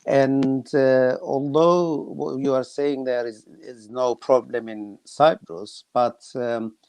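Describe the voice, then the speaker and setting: A man's voice: mid-range voice, fairly even intonation, takes it slowly, darkish tone, smooth, dry recording. An older man hosting an online panel over a video call, asking questions and laughing often. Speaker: host.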